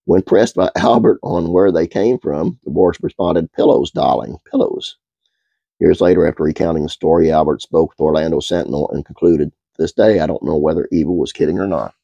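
A middle-aged man hosting a casual trivia video at home, stuffed-up and congested, talking in a relaxed, halting way with many uhs. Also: rumbling low, gravelly, no echo, highs cut off. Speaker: host.

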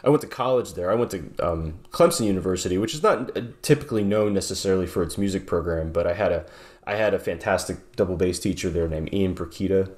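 Low-voiced man on a video call. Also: quick pace, darkish tone. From southeastern New York. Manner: thoughtful, many restarts and fillers. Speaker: guest bassist. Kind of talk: casual interview. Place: home room with guitars on wall.

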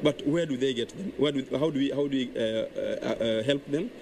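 A man with a Kenyan accent making a plea in an interview, halting and repeating himself. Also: medium pitch. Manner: halting, repetitive. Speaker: bar owner giving interview. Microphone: handheld reporter mic. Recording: clear background noise.

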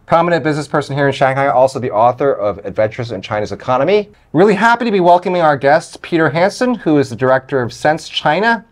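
A man's voice: on the high side, very animated, quick pace, few pauses. A man in his forties hosting a talk show, giving a welcome and introducing guests with some fillers. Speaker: host. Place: studio with city-view windows.

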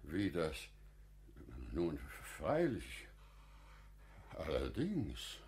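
An actor playing a courteous, cautious older merchant in a radio drama, speaking deliberately and with some hesitancy. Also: male voice, very deep voice, wildly swooping pitch, a little mellow, exaggerated voice. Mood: startled, guarded. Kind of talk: classical German radio drama acting.

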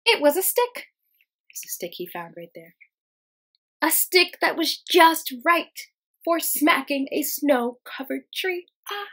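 A young woman, a teacher reading a children's storybook aloud, expressive and explaining things simply for young listeners. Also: sits high, slow pace. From United States. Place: small room at home, indoors.

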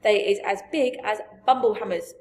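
A woman voicing a big, friendly male giant from a storybook, gentle and boastful, with muddled grammar and emphatic delivery. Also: mid-range voice, moderate pace, lightly acted. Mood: eager, explaining. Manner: garbled grammar, invented words, emphatic. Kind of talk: storybook character voice.

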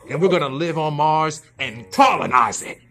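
A man playing an excitable, sentimental husband with a folksy, theatrical, blustering delivery in a live radio comedy improv. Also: on the high side, moderate pace, faint music behind. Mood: resolved. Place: live show on a theatre stage.

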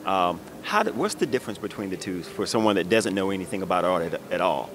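A middle-aged man interviews someone casually on location. His voice is low and he talks quickly into a handheld mic, with plenty of background noise from a busy hall. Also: animated. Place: indoor art expo in a convention center. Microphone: handheld interview microphone. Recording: noisy exhibition hall background.

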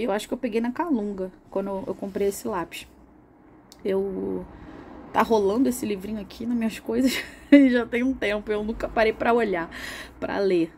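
Young woman, a bit hoarse, easygoing rambling craft host, mid-pitched, faint background hiss. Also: mellow. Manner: chatty, rambling, with laughs and restarts. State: slightly hoarse from a cold. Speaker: art channel host. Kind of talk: casual chat demonstrating coloring.

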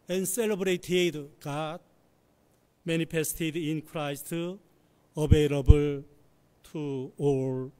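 A pastor in his forties with a slightly husky voice, preaching a sermon slowly and carefully from a church pulpit. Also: fairly high pitch, warm tone. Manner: slow, measured preaching with careful phrasing. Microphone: pulpit microphone.

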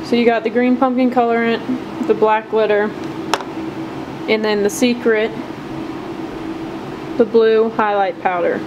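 A woman chatting casually and upbeat while showing how she makes soft plastic fishing baits at her home workbench. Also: on the high side, steady tone, measured pace, pauses often, dull audio. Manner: chatty, upbeat, filler-heavy. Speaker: bait maker showing her process. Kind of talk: casual hands-on tutorial. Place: home workshop bench. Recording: noticeable air-conditioning hum.

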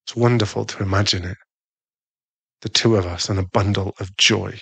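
A man's voice: fairly low pitch, steady tone, creaky, fairly bright tone, breathy edge, dry recording, full character voice. A man playing a jealous, doting werewolf husband in an intimate ASMR boyfriend roleplay, teasing and a little bratty. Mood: tender, doting. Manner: slow, intimate, teasing, with sighs and stammers.

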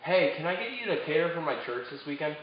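A man's voice: on the high side, lively intonation, quick pace. A teenage male chatting casually as he hosts a prank call video. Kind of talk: casual chat. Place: wood-walled cabin living room. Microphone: distant camera microphone. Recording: distant, echoey, dull camera audio, faint noise.

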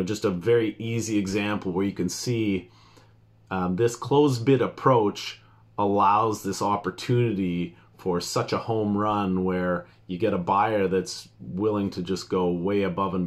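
Low-voiced man explaining casually. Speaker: realtor. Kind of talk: informal explainer talk to camera. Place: small indoor office.

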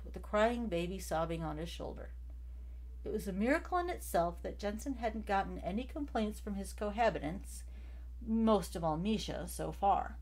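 A woman's voice: lowish voice, darkish tone. A woman narrating a story from a script as an amateur audiobook reader, occasionally stumbling over a word. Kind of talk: scripted fiction narration, read aloud.

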